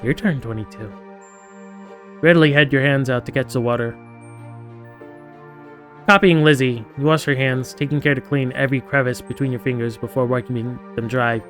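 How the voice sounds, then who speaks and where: This is a man streaming a game, with faint music playing behind him. His pitch sits in the middle for a man. He moves between reading narration aloud and casual, sarcastic commentary peppered with swearing. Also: fairly even intonation, fairly quick, fairly bright tone. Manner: sarcastic, profane asides.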